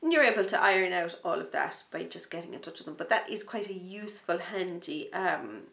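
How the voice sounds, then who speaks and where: A woman with a lowish, gravelly voice chats casually as a knitting podcast host, rambling and enthusiastic. She sounds a little sniffly from a starting cold and slips into a soft near-whisper when excited. The sound bounces around an echoey room. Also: brisk. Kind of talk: casual chat to camera.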